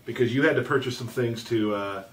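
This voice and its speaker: A man's voice: medium pitch, fairly quick, distant mic. A man hosting a casual developer livestream, chatting with guests in a jokey, informal way. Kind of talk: casual chat, interviewing guests.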